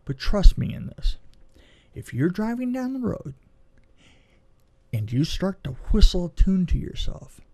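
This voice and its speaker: Higher-pitched man telling film-trade stories. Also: sing-song, a little slow, pauses often, warm tone. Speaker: podcast co-host and film production designer. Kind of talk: casual movie review chat and anecdotes.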